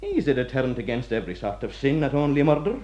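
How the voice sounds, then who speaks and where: Irish prison warder getting on in years, guy acting, mellow, homely, deferential, philosophical, bit muffled radio play recording. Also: mid-range voice, sing-song, quick pace, close to an everyday voice. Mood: earnest, insistent. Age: middle-aged. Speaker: actor.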